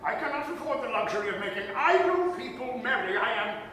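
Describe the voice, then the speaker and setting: A man's voice: very high voice, lively intonation, fairly quick, bright, forceful tone, exaggerated voice. A man playing a bitter, miserly old businessman in a theatrical, scornful radio drama style. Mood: contemptuous. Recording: echoey hall, distant, faint noise.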